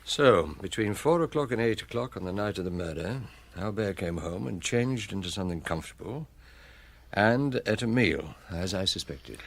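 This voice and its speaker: An actor playing a shrewd, unflappable police chief inspector, wry and thoughtful, in a radio drama. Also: male voice, low-pitched, lively intonation, normal speed, creaky, heavily acted. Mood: musing, wry.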